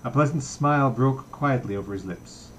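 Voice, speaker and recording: Guy reading a novel out loud, middle pitch, bit noisy recording. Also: moderate pace. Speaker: audiobook reader. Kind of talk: literary narration, reading a novel aloud.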